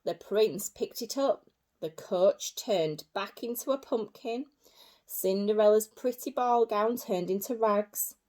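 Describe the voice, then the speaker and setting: A woman reading a story aloud for young children as a teacher, in an animated way with simple repeated phrases and asides to her listeners. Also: mid-range voice, takes it slowly. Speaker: early years teacher.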